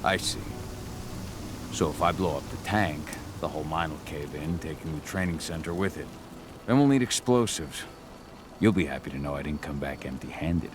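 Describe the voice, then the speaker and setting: An actor playing a brooding, guilt-ridden werewolf warrior in a video game, speaking in a terse, grave, restrained way. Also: male voice, rumbling low, moderate pace, background noise. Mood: businesslike. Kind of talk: video game cutscene acting.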